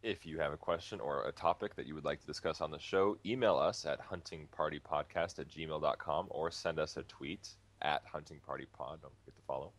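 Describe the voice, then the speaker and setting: A man's voice: a bass voice, fairly quick, hardly any pauses. A man co-hosting a podcast in a casual style. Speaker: podcast co-host.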